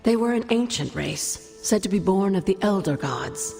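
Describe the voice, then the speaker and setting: An actress playing a grave teller of ancient mystical lore in a video game, speaking formally. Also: female voice, medium pitch, expressive, normal speed, gravelly, dark tone. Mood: solemn, mysterious. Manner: formal, expository. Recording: long reverberant echo over music.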